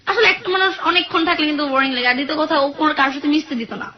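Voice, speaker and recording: A woman's voice: sits high, racing. A young woman calling in to tell her life story in a rambling way, acting out what people said, heard through dull lo-fi broadcast audio. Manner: rambling, acts out quoted speech. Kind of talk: casual personal storytelling. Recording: dull lo-fi phone or radio audio.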